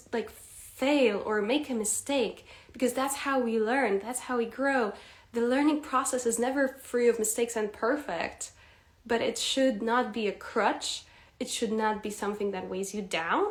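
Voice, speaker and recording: Lively woman coaching on a live stream. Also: a little high. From Poland. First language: Polish. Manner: lively, laughs often, addresses viewers directly. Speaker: English accent coach. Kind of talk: live-stream teaching chat. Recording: echoey room.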